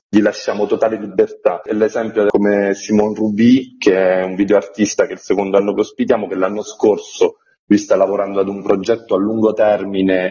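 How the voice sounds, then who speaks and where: A man's voice: fairly low pitch, dark tone, lo-fi sound. A man speaking as an arts festival director in an interview, explaining things informatively in long, flowing sentences. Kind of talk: informational radio interview answers.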